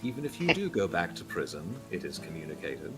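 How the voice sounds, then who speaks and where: A man's voice: low-pitched, very animated, brisk. A man taking part in a tabletop game, casually narrating actions and chatting. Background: music.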